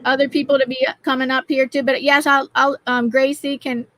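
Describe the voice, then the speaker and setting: A mature woman hosting a panel casually, in a halting way with repeated words and restarts. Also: high voice, level tone, a bit bright. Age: 40s or older. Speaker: livestream panel host.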